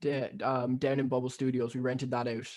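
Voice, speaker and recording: A young man from Ireland with a softened Cork accent leaning American, rambling casually through an interview with lots of fillers and restarts. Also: mid-range voice, little pitch movement, normal speed. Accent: neutral Cork Irish with American influence. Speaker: musician guest.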